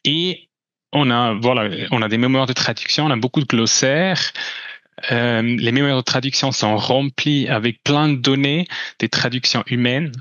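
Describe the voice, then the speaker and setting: A man's voice: mid-range voice, normal speed, fairly bright tone, no room sound, muffled recording. Man presenting hesitantly. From Switzerland. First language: German. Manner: hesitant, many restarts and fillers. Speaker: company presenter. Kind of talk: conference talk with slides.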